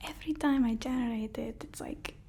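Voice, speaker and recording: A woman whispering an ASMR roleplay as a deferential, flattering personal assistant, often repeating her words softly and hesitantly. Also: fairly high pitch, fairly quick, a little hiss or hum. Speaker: ASMR performer.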